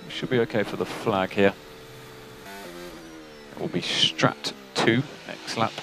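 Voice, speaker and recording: A man working as a race engineer, giving calm, clipped technical instructions over team radio. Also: lowish voice, measured pace, vocal fry. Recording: noisy team radio, engine noise behind.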